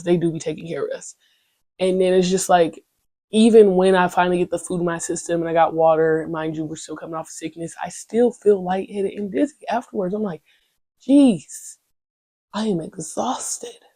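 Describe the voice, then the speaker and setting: Mellow, dry-voiced young woman podcaster. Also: lowish voice, dry recording. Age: young adult. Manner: candid, slangy and rambling, with many "you know what I'm saying" fillers. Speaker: podcast host. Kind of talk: casual solo podcast chat.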